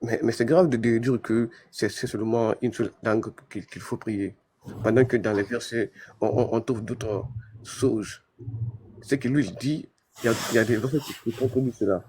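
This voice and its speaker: A man's voice: medium pitch, fairly even intonation, normal speed, darkish tone, slight background noise. A man in a live discussion who argues his point with many repeated words and restarts. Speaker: debate panelist.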